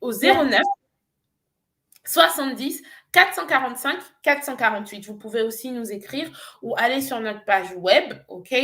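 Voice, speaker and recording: Earnest Nigerian-born woman coach, higher voice. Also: bright, forceful tone. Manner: earnest, persuasive, with frequent hesitations. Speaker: business coach. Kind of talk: promotional pitch on a live show.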